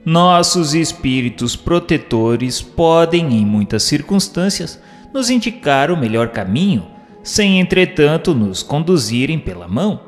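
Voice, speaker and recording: A man's voice: fairly high pitch, big pitch swings, unhurried, few pauses, big-room sound. A man narrating scripted book commentary in a formal, didactic manner. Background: faint music.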